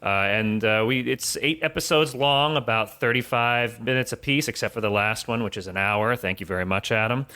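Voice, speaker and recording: A man with a bright, forward tone at an ordinary male pitch. He talks as a podcast guest, explaining things conversationally. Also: brisk, few pauses. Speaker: podcast guest, audio drama writer. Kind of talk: casual explanatory chat.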